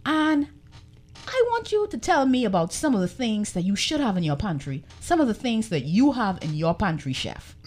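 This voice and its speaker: A woman's voice: medium pitch, big pitch swings, normal speed, darkish tone. A woman hosting a radio show, lingering over her words as she savors long lists of things. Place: radio studio.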